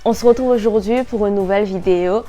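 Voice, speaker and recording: Young woman, late teens, vlogger, casual chat, animated slangy delivery, English words dropped in, mid pitch, faint music behind. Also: fast. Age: 19, nearly twenty.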